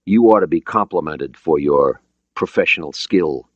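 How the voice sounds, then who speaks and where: A man voicing a weary Soviet naval officer with a Russian accent and formal, halting English in an audiobook. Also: a bass voice, no room sound, muffled recording.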